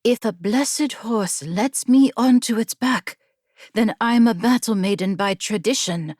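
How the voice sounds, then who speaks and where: Woman voicing a defiant, unhurried young battle maiden. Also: fairly high pitch, darkish tone, no echo, studio quality. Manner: resolute, earnest. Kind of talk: audiobook character dialogue.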